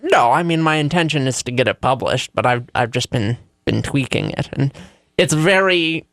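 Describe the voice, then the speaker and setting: Man, creaky mid-pitched voice, dry close sound, wry co-host, casual chat. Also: animated, normal speed, fairly bright tone, no room sound. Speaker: radio show co-host.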